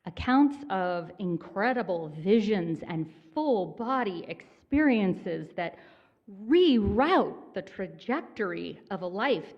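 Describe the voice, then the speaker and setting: A woman minister giving a sermon in a church, conversational and gently self-mocking in her humor. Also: fairly low pitch, highs cut off. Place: church sanctuary.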